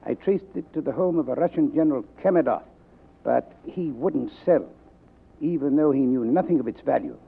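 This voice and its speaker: An actor playing a suave, greedy fat crime boss with florid, courteous manners in a 1940s radio drama. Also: male voice, medium pitch, animated, moderate pace, gravelly, husky. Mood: covetous, calculating. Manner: florid, formal, courteous address. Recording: tinny vintage 1940s radio recording.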